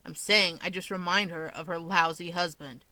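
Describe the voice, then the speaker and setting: A woman voicing a guarded, irritable young man with a deadpan, sarcastic delivery. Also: on the low side, normal speed, no room sound. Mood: irritated. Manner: deadpan, snarky.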